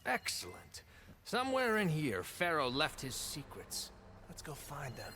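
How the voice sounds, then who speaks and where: An actor playing a grandiose, deluded leader in a video game, speaking in a pompous, stately, declamatory way. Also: male voice, fairly high pitch, huge pitch swings, measured pace, pushed and bright, a little hiss or hum, full character voice. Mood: imperious. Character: grandiose deluded male leader believing himself an ancient savior. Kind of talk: theatrical video game cutscene acting.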